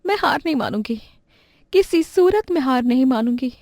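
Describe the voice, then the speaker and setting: Woman as a earnest poor girl, high voice, dry close mic. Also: expressive, normal speed, a little mellow, no echo. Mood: determined. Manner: earnest and pleading. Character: poor determined young girl student.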